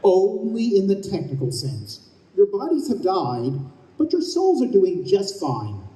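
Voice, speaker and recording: A chipper heavenly escort played by a man in an old-time radio play, matter-of-fact and officious. His voice is high and very soft-edged, with swooping intonation, in a roomy live space. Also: wildly swooping pitch, measured pace, heavily acted. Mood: breezy, reassuring. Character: chipper, businesslike male heavenly escort for souls. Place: live stage at a convention.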